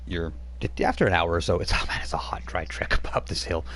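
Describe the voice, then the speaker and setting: Deep-voiced rambling game master man. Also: a little fast, fairly bright tone. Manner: rambling, chuckling storyteller with fillers. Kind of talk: narrating a tabletop game.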